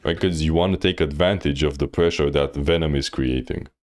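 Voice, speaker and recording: Low-voiced guy coaching on stream, blunt and direct, lots of "like". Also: a little fast. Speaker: game coach. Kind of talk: live-stream gameplay review.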